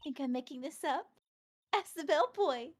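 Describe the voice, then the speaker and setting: Woman playing a high-voiced bratty young witness. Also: lively intonation, a little hiss or hum. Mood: smug. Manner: theatrical, taunting, drawn-out indignation. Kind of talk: video game fandub acting.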